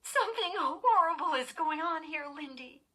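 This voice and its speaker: A woman voicing a frightened, high-strung young girl in a storybook, breathless, emotional and insistent. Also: animated, takes it slowly, bright, forceful tone, exaggerated voice. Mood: tearful.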